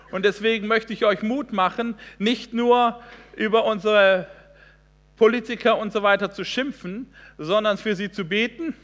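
A man's voice: high-pitched, fairly bright tone, big-room sound. A man in his forties, from Hesse, giving a sermon as a guest preacher, earnest and emphatic, with frequent fillers and restarts. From Hesse, Germany. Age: 40s.

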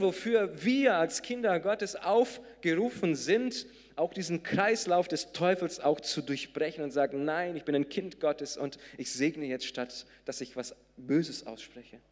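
A man with Portuguese as his first language, preaching a sermon to a congregation in a conversational way, with many fillers and restarts that rise to emphatic moments. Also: high-pitched. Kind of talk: sermon with a personal story. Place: church hall before a congregation. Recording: long hall reverb.

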